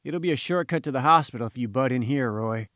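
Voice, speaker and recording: A man's voice: mid-range voice, fast, a bit bright, dry recording, studio quality, close to an everyday voice. A man voicing a genial, wry journalist in a storybook, friendly but firm, with breezy old-chap slang.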